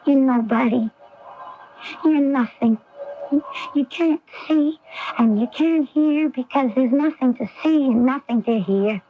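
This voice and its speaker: A woman's voice: high-pitched, unhurried. A woman playing a fragile, troubled young daughter who fears being left, plaintive and childlike, in a radio drama. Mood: despairing, haunted. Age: young adult. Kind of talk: 1980s radio drama acting. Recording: noisy, dull lo-fi AM radio recording.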